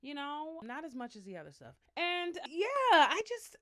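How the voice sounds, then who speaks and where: A young American woman telling stories casually to her viewers, chatty and animated, full of slang and asides. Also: high voice, normal speed. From United States. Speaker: vlogger, singer. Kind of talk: casual storytelling to camera.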